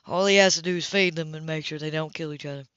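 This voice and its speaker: Female reader as restless flirtatious man for a romance audiobook; clipped, teasing, lowered voice. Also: quick pace, a bit bright, smooth, no echo, muffled recording. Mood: teasing, casual. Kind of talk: audiobook character voice.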